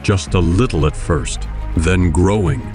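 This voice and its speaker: A man's voice: deep voice, a little slow. A man giving scripted narration for an audio drama. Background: music and crowd sounds.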